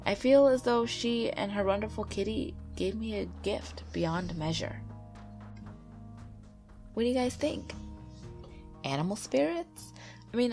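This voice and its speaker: A woman with a stuffy nose from a cold, gently and earnestly reading true ghost stories aloud as the narrator of her channel. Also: mid-range voice. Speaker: YouTube ghost story narrator. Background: faint background music.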